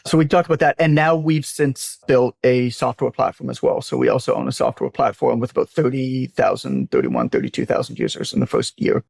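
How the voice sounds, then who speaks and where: Man, creaky voice, dry close sound, candid, emphatic, sweary, conversational storytelling, interview guest. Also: medium pitch, expressive, a little fast, few pauses, darkish tone, no room sound. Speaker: guest, business owner and author.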